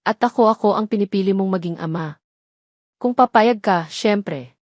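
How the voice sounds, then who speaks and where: A woman with a Filipino accent voicing an earnest, loving man, a gentle father and suitor in a romance audio story. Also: medium pitch, moderate pace, no echo, studio quality, close to an everyday voice. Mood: gentle, reassuring.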